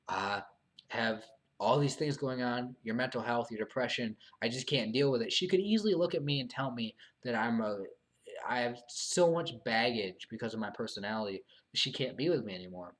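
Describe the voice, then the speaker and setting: Young man, live streamer, mid-range voice, subdued and hesitant, full of fillers, voice getting tired. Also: medium pitch. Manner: hesitant, halting, many fillers and restarts; soft, subdued, earnest. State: losing his voice after long talking. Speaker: live streamer answering viewer questions. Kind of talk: casual live stream monologue.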